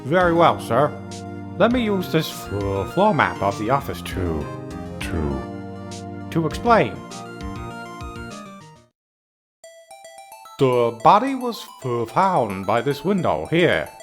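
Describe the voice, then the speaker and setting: An actor playing a bumbling, nervous police detective with a heavy stammer and a deferential manner, in a video game dub. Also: male voice, medium pitch, huge pitch swings, takes it slowly, soft background music. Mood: nervous, eager.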